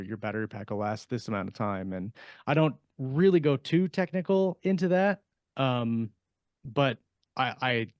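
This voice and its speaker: A man talking casually on a panel as an author, halting and repeating words as he thinks aloud. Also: medium pitch, no echo, clean studio sound. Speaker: science fiction author on a panel podcast.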